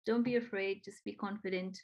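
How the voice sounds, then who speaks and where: A woman's voice: mid-range voice, fairly even intonation, a little fast. A woman from Nepal who speaks English with a Nepali accent, talking earnestly and encouragingly with frequent ums and repeated phrases. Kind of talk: casual conversation.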